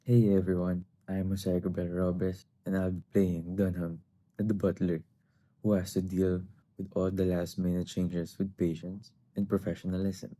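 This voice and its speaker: Deep, very mellow-voiced man. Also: level tone, normal speed. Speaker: cast member introducing himself. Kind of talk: scripted introduction.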